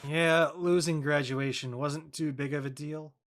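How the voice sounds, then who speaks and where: A man playing an easygoing middle-aged father in a drama reading, speaking in a measured, accepting way. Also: on the high side, steady tone, fairly bright tone. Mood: accepting, reflective.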